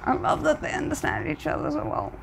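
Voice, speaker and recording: Higher-pitched woman reacting casually, some background noise. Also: wildly swooping pitch, fast, vocal fry. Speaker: reaction video host. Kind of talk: casual spoken reaction commentary.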